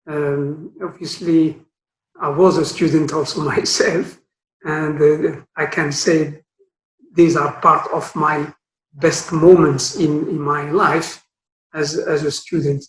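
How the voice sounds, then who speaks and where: A man in his sixties from Morocco, a retired guest speaker telling his career story with many pauses and fillers. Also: on the high side, level tone. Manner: hesitant, many fillers and restarts. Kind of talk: career talk. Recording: echoey room.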